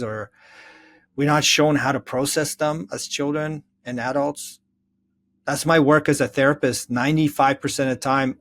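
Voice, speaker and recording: A man speaking as an interview guest, reflecting in an earnest, thoughtful and explanatory way. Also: medium pitch. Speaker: interview guest, therapist and author.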